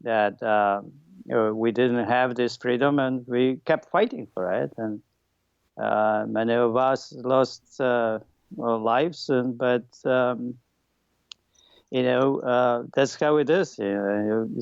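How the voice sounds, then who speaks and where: A man from Poland with a Polish accent, a native Polish speaker, giving halting, thoughtful answers as an interview guest. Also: mid-range voice, creaky, a little mellow, smooth, no echo, dull audio. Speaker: podcast guest, former Olympic weightlifter. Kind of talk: interview answers, personal recollection.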